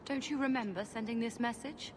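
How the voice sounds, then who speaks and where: A woman's voice: a little high, brisk, lightly acted. A woman playing a worried, dutiful city dweller in a fantasy video game, speaking earnestly. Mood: puzzled. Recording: loud ambient noise over voice.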